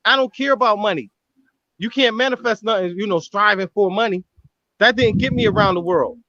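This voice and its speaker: A man's voice: high-pitched, brisk, sharp tone, dry recording, lo-fi sound. A man arguing defensively and rambling, with lots of "you know what I'm saying", on a call. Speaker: guest in a live stream. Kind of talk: heated, defensive argument.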